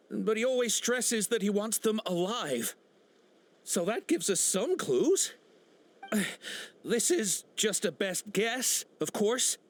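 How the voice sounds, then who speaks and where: Stammering captured smuggler. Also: male voice, high-pitched. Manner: stammering, full of uh and nervous restarts. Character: nervous captured male smuggler, cowardly. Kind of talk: game cutscene voice acting.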